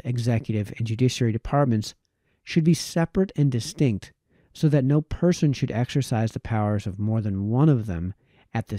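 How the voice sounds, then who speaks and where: Dark-voiced man reading aloud, very mellow. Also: medium pitch, no echo, studio quality. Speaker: reader, narrator. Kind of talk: reading a historical political text aloud, scripted narration.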